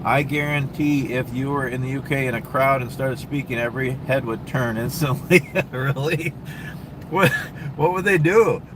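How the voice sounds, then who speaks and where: A man's voice: medium pitch, normal speed, noisy background. A man with a Minnesota accent, hosting a casual livestream and answering viewer questions in a rambling, easygoing way full of ums and restarts. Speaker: livestream host. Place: truck stop, with traffic and air conditioning noise.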